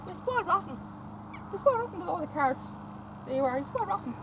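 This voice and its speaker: A woman with a high voice stands outdoors in a stud farm paddock, cooing at a mare. She repeats herself and trips over words as she chats. Wind and farm sounds come through clearly around her camera audio. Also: big pitch swings, fast. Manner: repeats phrases, stammers on words; coaxing baby talk to the mare. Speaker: vlogger visiting a stud farm. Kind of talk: casual talk to a horse and companion. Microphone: camera held by companion.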